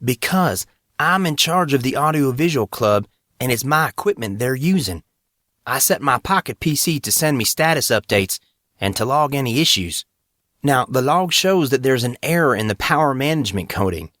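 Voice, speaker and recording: Man as a brisk, higher-voiced young tech student. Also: animated, a bit bright, no room sound, studio quality, close to an everyday voice. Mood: matter-of-fact. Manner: matter-of-fact, explanatory. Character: brisk, exasperated young male student tech club head.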